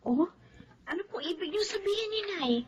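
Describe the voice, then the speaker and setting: An actress playing a caring, respectful young student daughter in a Filipino radio drama, polite and earnest. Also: female voice, extremely high pitch, lively intonation, moderate pace. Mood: uneasy.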